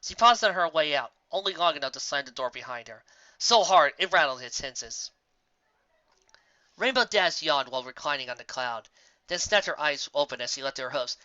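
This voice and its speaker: A woman's voice: low voice, expressive, normal speed, pushed and bright, no room sound. A woman reading a story as scripted narration, on a dull lo-fi recording. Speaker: audiobook reader of fan fiction.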